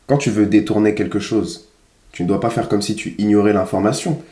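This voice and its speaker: A man with a fairly low voice speaks in a very roomy, echoing space. He gives casual critical commentary like a coach, repeating phrases for emphasis. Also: a little fast, dark tone. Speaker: dating coach reviewing footage.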